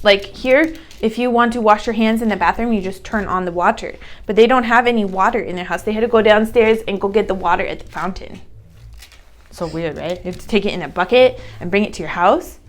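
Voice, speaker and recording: A woman teacher reading a story aloud to young children, explaining words in simple phrases and asking questions. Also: medium pitch.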